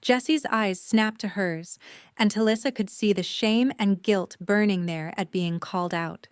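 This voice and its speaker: A woman's voice: mid-range voice, a little slow, clean tone, no echo, clean studio sound. A woman reading scripted third-person story narration for an audio drama.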